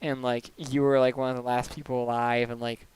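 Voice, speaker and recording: A young man streaming a video game, chatting casually and rambling with lots of "like" fillers and quick laughs, into a desk microphone at home. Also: medium pitch, little pitch movement, darkish tone, clear, resonant tone. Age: young adult. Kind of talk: casual chat while gaming.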